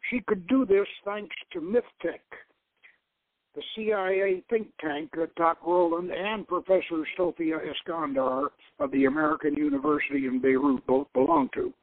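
A man hosting a radio show, reading a scripted text and his own novel aloud in deliberate phrases, as if over a telephone. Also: fairly high pitch, lively intonation, creaky, dry recording. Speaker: radio show host and author. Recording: tinny phone-line radio broadcast.

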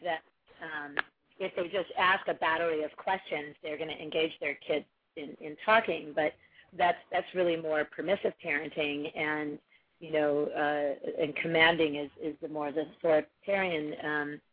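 A woman with a husky, loud voice gives steady parenting advice, restarting her sentences as she goes. The sound is narrow and tinny, like a phone call. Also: deep voice, normal speed. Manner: earnest, advising, many restarts. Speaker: parenting coach guest. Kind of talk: casual podcast conversation.

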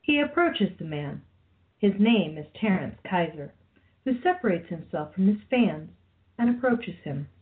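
A woman's voice: fairly low pitch, big pitch swings, measured pace. A woman reading scripted narration for a radio play. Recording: dull lo-fi audio.

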